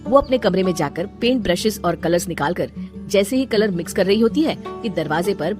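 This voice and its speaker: Woman storyteller with animated intonation. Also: medium pitch, brisk, over music. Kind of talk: scripted cartoon story narration.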